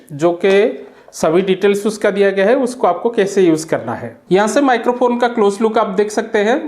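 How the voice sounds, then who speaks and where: An Indian-accented woman hosts a casual unboxing review. Her voice sits at an ordinary middle pitch for a woman. She explains the product like a tech channel presenter talking to viewers. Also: darkish tone.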